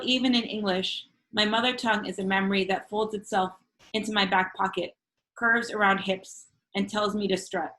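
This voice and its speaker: A woman whose first language is Spanish, reading her own poetry to an online open mic. Her delivery is measured and earnest, loosening into casual chat between poems, and her voice sits at an ordinary middle pitch. She is heard through a video-call microphone at home. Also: a bit bright, low-quality recording. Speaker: featured poet.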